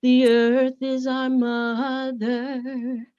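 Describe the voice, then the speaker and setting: A woman's voice: high-pitched, completely flat delivery, very slow, warm tone, muffled recording, soft background music. A woman giving a simple, repeated chant in a sung style.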